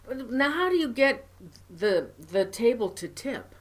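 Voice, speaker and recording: A woman's voice: fairly high pitch, very animated, measured pace. A woman interviewer asking follow-up questions and giving brief prompts.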